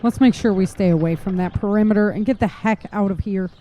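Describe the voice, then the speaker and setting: A woman's voice: medium pitch, a little fast, close to an everyday voice. Woman as wary survivor amid outdoor noise. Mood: relieved, wary. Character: wary lone female survivor on horseback. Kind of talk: post-apocalyptic audio drama acting. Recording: clearly noisy, outdoor horse ambience.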